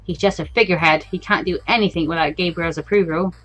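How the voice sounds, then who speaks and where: A cynical man at a bar in a visual novel, acted out by a woman. He talks bluntly and casually, grumbling in a lowered pitch over faint music. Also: fairly even intonation, fast, a bit bright, poor audio. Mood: cynical, dismissive. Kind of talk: visual novel read-aloud.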